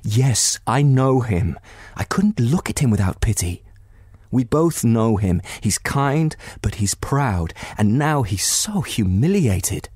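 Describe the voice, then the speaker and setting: A man voicing a tender, concerned sister-in-law in a novel, soothing, earnest and gently pleading. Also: medium pitch, sing-song, slow pace, husky, no room sound, clean studio sound, full character voice. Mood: persuasive, pleading.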